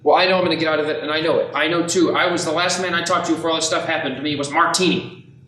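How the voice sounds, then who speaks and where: An amateur actor playing an excitable everyman husband and father in a radio play, with a pleading, stammering delivery. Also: fairly high pitch, rapid-fire. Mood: bewildered, insistent. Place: echoey room, audio-only play. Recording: roomy echo, faint noise, clicks.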